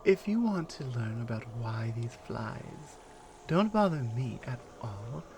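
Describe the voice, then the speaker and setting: Woman acting slow-spoken, taunting Amazonian warrior. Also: extremely low pitch, background noise, full character voice. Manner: taunting, deliberate, hushed at times. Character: stern, proud female Amazonian warrior guarding her captive. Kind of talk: ASMR roleplay monologue.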